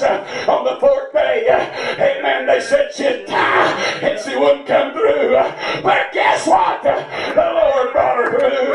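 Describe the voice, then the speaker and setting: A hoarse middle-aged man preaching a sermon as pastor, filling every pause with "uh" and "amen.". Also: very high voice, recorded from a distance. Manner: preaching in bursts with constant "uh" and "amen" fillers. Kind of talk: shouted sermon. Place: church sanctuary. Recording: echoey church hall from afar. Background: congregation.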